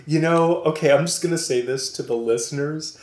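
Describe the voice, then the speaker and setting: A man's voice: a little high, a little fast. A man from California hosting a podcast, talking casually, rambling and hesitant with a self-deprecating streak. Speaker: podcast host. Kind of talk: casual conversation. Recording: roomy echo.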